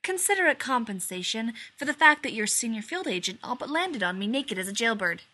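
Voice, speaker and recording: A man's voice: very high-pitched, sing-song, fairly quick, sharp tone, exaggerated voice. A woman voicing a laid-back male air force colonel who drawls his words and keeps a dry tone. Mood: wry.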